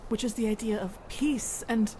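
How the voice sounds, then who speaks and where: A woman playing a devout, earnest and gentle novice in a fantasy game. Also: on the high side, steady tone, normal speed, noisy background, lightly acted. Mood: earnest, pleading.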